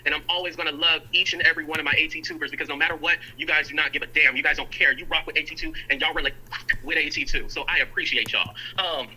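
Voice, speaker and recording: A man in his thirties talking casually and warmly to his stream viewers, heard as a clip played from a distance. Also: a little high, steady tone, slight background noise. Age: 30s. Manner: fast, casual, heartfelt. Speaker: YouTube streamer. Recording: tinny clip played back from afar.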